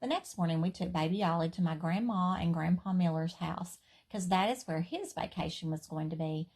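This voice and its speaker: A woman reading a children's story aloud in an expressive, playful, emphatic way. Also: lowish voice, normal speed, breathy edge.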